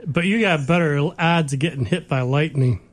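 A man's voice: fairly high pitch, normal speed. A man co-hosting a casual chat who chimes in with short sarcastic quips.

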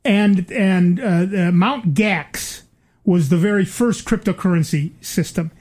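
A man's voice: high-pitched, slow pace, a little mellow. An older man hosting a casual chat, rambling with many fillers and restarts and dry asides.

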